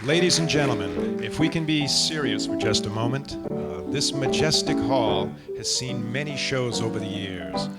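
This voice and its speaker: A man's voice: medium pitch, animated, moderate pace, hardly any pauses. A man hosting as a formal 1940s-style radio announcer. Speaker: announcer, host. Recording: loud music over the voice.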